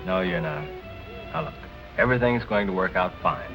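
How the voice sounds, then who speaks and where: A man's voice: sits low, animated, normal speed, music in the background, low-quality recording. An actor playing an earnest, solicitous man who is under suspicion and comforting his sweetheart, in 1940s film noir style. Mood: reassuring.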